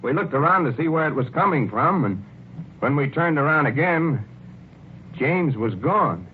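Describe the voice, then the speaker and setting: An actor playing a plain-spoken, baffled police lieutenant in conversational 1940s radio drama style, on an old recording. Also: male voice, fairly high pitch, expressive, normal speed, natural voice. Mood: defensive, bewildered. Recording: tinny old radio recording, faint noise.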